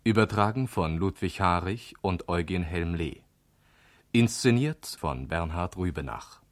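Male vintage radio announcer, deep-leaning voice, unhurried formal title reading. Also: animated.

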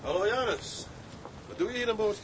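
A determined tugboat skipper, with a slightly high male voice. He speaks earnestly and deliberately, as in a radio drama, over clearly audible ambient noise. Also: a bit bright. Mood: surprised greeting. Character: determined Dutch tugboat skipper, patient but embittered by rivals.

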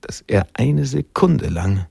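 A man narrating a literary radio drama in a measured, sombre manner, with a gravelly, husky voice. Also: mid-range voice, expressive, dark tone, no room sound, clean studio sound, exaggerated voice.

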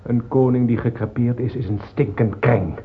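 An actor playing a vengeful, venomous courtier in a radio play, speaking with deliberate delivery and a husky voice. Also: male voice, medium pitch, fairly even intonation. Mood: venomous relief. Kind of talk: theatrical radio drama acting. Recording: old lo-fi radio recording, phone-like.

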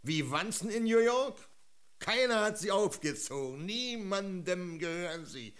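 A man's voice: sits high, animated, a little slow, fairly bright tone. A man playing a fervent, taunting frontier storyteller in a German radio drama, declaiming theatrically. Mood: taunting, excited. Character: fervent male frontier storyteller luring listeners westward.

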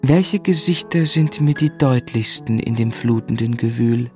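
An actor playing a tender, reflective novelist looking back on his life in a measured, earnest radio drama delivery. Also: medium pitch, darkish tone, natural voice. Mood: serene, nostalgic. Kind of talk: literary radio drama narration and dialogue. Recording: studio radio play with music underneath.

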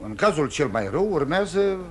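An actor playing a confident defence lawyer in a radio play, persuasive, insistent and reassuring. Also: male voice, on the high side, sing-song, crowd noise. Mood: coaxing, brisk. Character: confident, coaxing male defence lawyer advising his client. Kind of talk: mid-century radio theatre acting.

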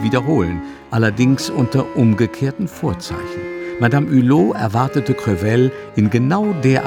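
A man narrating a literary radio drama in a measured, solemn storytelling manner. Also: lowish voice, close to an everyday voice. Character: literary narrator of a Parisian tale. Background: guitar and instrumental music.

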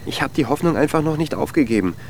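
A man's voice: fairly high pitch, expressive, fast talker, creaky, darkish tone, slight background noise. An actor playing a needy, insecure, lovelorn man who dithers and pleads in a naturalistic radio drama. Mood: anxious, dithering.

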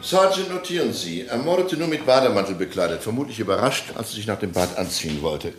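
An actor playing a brisk, methodical police inspector in a 1960s German radio play. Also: male voice, lowish voice, lively intonation, echoey room, soft background music, natural voice. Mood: businesslike.

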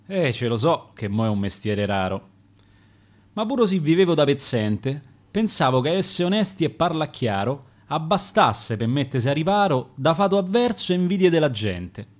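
A man's voice: on the high side, very animated, normal speed. A man reciting as an honest, ruined umbrella mender in rueful verse with a Roman accent.

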